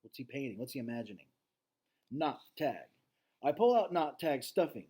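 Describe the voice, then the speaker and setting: A man's voice: mid-range voice, sing-song, moderate pace, warm tone, breathy edge. A man speaking as a teacher reading aloud to students, conversational and casual, often checking in with his listeners as he comments.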